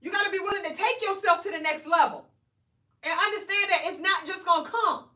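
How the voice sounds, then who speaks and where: A woman coaches viewers on a live stream, energetic and preachy, tagging "right?" onto her points. Her voice sits high. It echoes around the room, a little away from the mic. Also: a little fast, far from the mic. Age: 40s. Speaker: weight loss coach. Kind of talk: live stream motivational talk.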